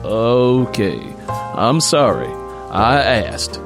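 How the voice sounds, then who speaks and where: A man's voice: medium pitch, sing-song, drawn-out words, over music. A man voicing a hesitant, apologetic, henpecked husband in an audiobook story. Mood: apologetic, defensive.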